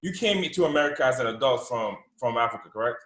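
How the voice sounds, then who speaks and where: A man's voice: medium pitch, expressive, fairly bright tone. Enthusiastic male host in a roomy space. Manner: enthusiastic, repeats himself, halting restarts. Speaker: podcast host. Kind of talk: interview conversation. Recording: roomy echo.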